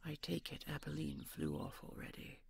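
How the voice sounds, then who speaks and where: A woman's voice: deep voice, sing-song, moderate pace, creaky, a little mellow, breathy edge, no echo, exaggerated voice. A woman playing a commanding, possessive dragon queen with a regal, formal manner in an audio roleplay. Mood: tender, proud. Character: commanding, possessive female dragon queen, tender to her beloved.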